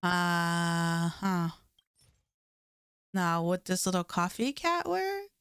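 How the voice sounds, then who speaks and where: A woman's voice: fairly low pitch, big pitch swings, drawn-out words, fairly bright tone, clean tone, no echo. A woman game streamer with a slight lisp, chatting casually on a live stream while playing, mumbling and stumbling over her words.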